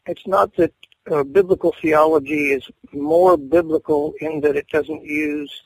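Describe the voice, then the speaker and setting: A middle-aged male seminary professor answering interview questions in a measured, thoughtful way, pausing often with fillers. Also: on the high side, vocal fry, husky, low-quality recording.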